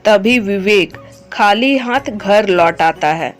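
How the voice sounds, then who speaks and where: Slow-paced woman story narrator. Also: medium pitch. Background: background music.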